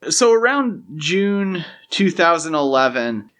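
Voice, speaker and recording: A man's voice: a little high, big pitch swings, slow pace, a bit bright. Man co-hosting a casual film podcast. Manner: conversational, explanatory, uses "like" and "you know" often. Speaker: podcast co-host.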